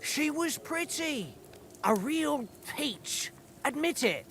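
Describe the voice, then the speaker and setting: An actor playing a carefree, boastful young soldier in a medieval game, with a cocky, jocular way of talking. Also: male voice, very high-pitched, very animated, takes it slowly, gravelly, fairly bright tone, slight background noise, heavily acted. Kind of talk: video game cutscene acting.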